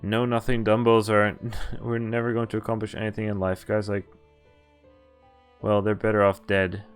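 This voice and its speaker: A man's voice: lowish voice, flat delivery, moderate pace, smooth. A young man on a live playthrough, reading visual novel text aloud and casually breaking off to comment. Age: young adult. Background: game music.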